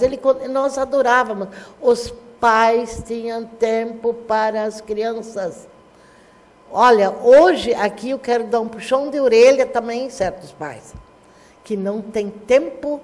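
An older woman, a retired teacher and historian, recounting memories in a TV studio interview with animated gestures. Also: fairly high pitch, big-room sound. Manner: warm storytelling, animated hand gestures. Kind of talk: reminiscing interview talk. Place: small TV studio.

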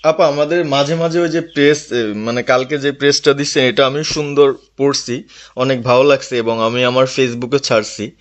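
A man's voice: mid-range voice, fairly even intonation, moderate pace. A man reports to his leader on a phone call, speaking deferentially and a little hesitantly. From Bangladesh. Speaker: local party activist. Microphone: telephone.

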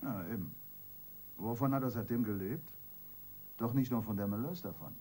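An actor playing a seasoned, sardonic police detective in a radio crime drama, talking colloquially and dryly. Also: male voice, on the low side, normal speed, a little mellow, a little hiss or hum, lightly acted. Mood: probing. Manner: colloquial, dry, musing, with tag questions.

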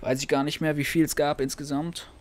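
A man's voice: a little high, flat delivery, fairly quick, slight background noise. A let's play host commenting on his gameplay in a casual, thinking-aloud way.

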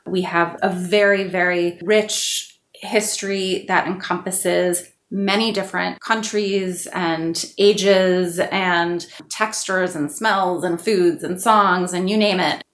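A woman with a slightly low voice answers as a podcast interview guest. She is articulate and stacks her points in lists. Her audio has a strong room echo. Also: steady tone, slow pace, fairly bright tone. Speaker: guest author and singer. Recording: roomy, echoing podcast audio.

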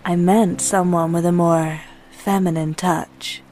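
A woman's voice: on the low side, steady tone, takes it slowly, a little mellow. A woman playing a self-assured cat burglar, flirtatious and sardonic, in a comic drama. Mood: flirtatious, teasing.

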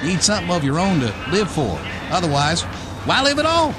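A man's voice: high voice, sing-song, brisk, music in the background. An actor playing a cocky, whiskey-drinking American redneck survivor, telling his story in folksy slang in a radio play. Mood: folksy, wry. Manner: folksy, slangy drawling wisecracks. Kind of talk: radio play monologue narration.

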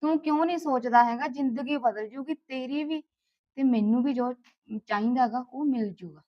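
A woman's voice: high-pitched, moderate pace, no room sound, highs cut off, lightly acted. A woman acting a lonely, lovestruck mistress of a household in a short film, with a Punjabi accent. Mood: coaxing, longing.

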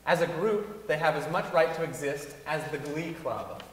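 A man giving a deliberate, emphatic dramatic reading of an essay on stage. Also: a little high, noisy background. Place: large concert hall stage, before an audience. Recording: echoey concert hall.

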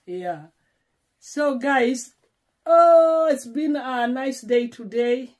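A woman's voice: high voice, drawn-out words, a little mellow. A woman from Kenya, around her sixties, chatting to a phone camera at home, pleading and repeating her words. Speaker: vlogger. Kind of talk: casual vlog chat to camera. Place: small home, indoors. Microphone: phone camera held at arm's length.

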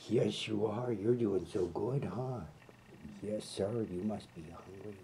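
Deep-voiced man murmuring to a kitten. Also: unhurried, a little hiss or hum. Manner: gentle, murmuring, often near a whisper. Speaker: pet owner feeding a kitten. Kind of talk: soft talk to an animal. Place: indoor room, at a pet cage.

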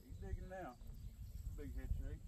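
A man's voice: on the high side, normal speed. A man in his thirties with an American accent, talking casually and folksily in clipped phrases as a fishing vlogger. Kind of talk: casual outdoor fishing vlog. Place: open boat on a wide river, outdoors. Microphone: camera at arm's length or across the boat. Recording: outdoor wind and water noise, often distant.

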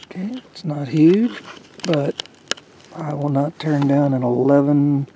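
A woman's voice: deep voice, takes it slowly, background noise. A woman casually commenting on her fishing from a small boat on a lake, chatting folksily to the fish she catches, recorded on a boat-mounted camera. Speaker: fishing vlogger.

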